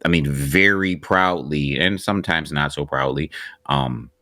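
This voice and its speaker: A man's voice: a bass voice, a little slow, pushed and bright, no echo, clean studio sound. A man co-hosting a casual podcast discussion, talking animatedly with many restarts and repeated words. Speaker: podcast co-host.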